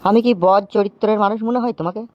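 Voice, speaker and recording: A man playing a pushy, smooth-talking suitor in a comedy cartoon, coaxing and repeating himself. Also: high-pitched, steady tone, very fast, no room sound, dull audio, lightly acted. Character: smooth-talking pushy male suitor wooing an abandoned wife.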